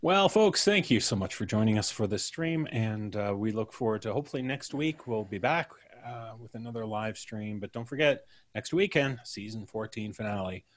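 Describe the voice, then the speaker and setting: A man's voice: on the low side, lively intonation, moderate pace, few pauses, dry recording. A man hosting a casual livestream, chatting with the others and signing off. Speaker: host. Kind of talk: casual livestream sign-off chat.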